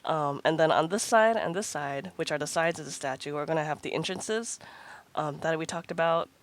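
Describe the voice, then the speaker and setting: Congested low-voiced woman gaming host. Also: normal speed. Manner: rambling, with frequent ums and restarts. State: congested, recovering from a cold. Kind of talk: casual gameplay commentary.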